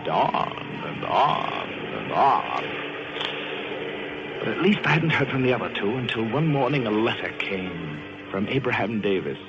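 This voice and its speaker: An actor playing a guilt-haunted, paranoid shipwreck survivor in intense, melodramatic old-time radio suspense. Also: male voice, low-pitched. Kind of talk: old-time radio suspense acting, first-person narration. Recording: muffled vintage radio recording with music.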